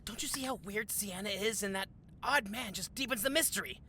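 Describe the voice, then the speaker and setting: A man's voice: high voice, a little fast, background noise, natural voice. A man playing an indignant, suspicious office underling turned sleuth in a comedy audio drama. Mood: suspicious, conspiratorial.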